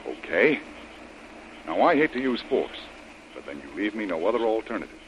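Deep-voiced, commanding radio adventurer. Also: male voice, sing-song, lo-fi sound. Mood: angry, forceful. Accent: American. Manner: brisk, authoritative, clipped orders. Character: tough, commanding male adventurer, bossy and impatient. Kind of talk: old-time radio adventure acting. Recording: old radio broadcast with faint noise.

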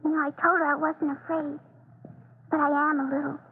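A woman's voice: high voice, flat delivery, fairly quick. An actress playing a shy, easily scared little girl in an old-time radio drama, with a timid, wavering delivery. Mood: uneasy. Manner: timid, wavering, wide-eyed. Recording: tinny, narrow-band old radio recording.

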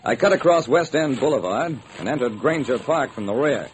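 A man's voice: medium pitch, expressive, normal speed, lightly acted. An actor playing a hard-boiled private detective, narrating his case with dramatic, wry deliberation in old-time radio style. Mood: wry storytelling. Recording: noisy old radio recording.

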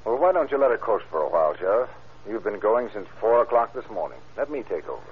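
An actor playing a steady, inquisitive railroad detective in an old-time radio crime drama. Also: male voice, lowish voice, breathy edge, full character voice. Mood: businesslike. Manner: brisk, inquisitive, matter-of-fact. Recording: tinny, noisy old radio recording.

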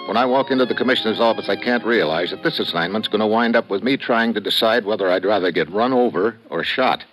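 A man's voice: on the low side, quick pace, music in the background, natural voice, low-quality recording. A man playing a wry, tough government agent in a hard-boiled, clipped style of old radio adventure drama.

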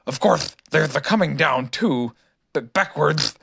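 A bouncy, boastful tiger from a children's storybook as a reader plays it. The voice sits at a middle pitch and moves animatedly, with emphatic, self-assured delivery. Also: lively intonation, measured pace, vocal fry, fairly bright tone, husky, full character voice. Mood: uneasy bravado. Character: boastful, bouncy young tiger, confident show-off.

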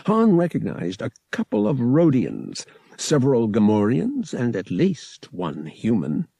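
A man reading a science fiction novel aloud as an audiobook narrator, with expressive storytelling. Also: medium pitch, measured pace, vocal fry, breathy edge, no room sound. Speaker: audiobook reader.

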